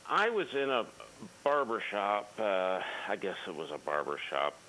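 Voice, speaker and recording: A man's voice: lowish voice, very animated, normal speed, sharp tone, slight background noise. Caller, sixties man, rambling storyteller, phone line. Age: 60s. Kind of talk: phone-in call.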